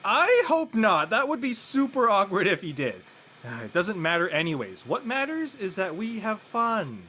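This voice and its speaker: A man playing a lovelorn, defensive high school senior boy who jokes in casual slang in a drama table read. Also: huge pitch swings, normal speed, sharp tone, a little hiss or hum, full character voice. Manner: casual slangy teen talk.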